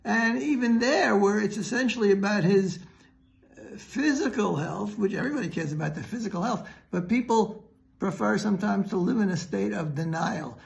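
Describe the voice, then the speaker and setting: High-pitched, creaky-voiced man teaching religion. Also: poor audio. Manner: earnest, didactic, halting mid-sentence. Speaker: rabbi giving a Torah lesson. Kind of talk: religious teaching, unscripted explanatory talk.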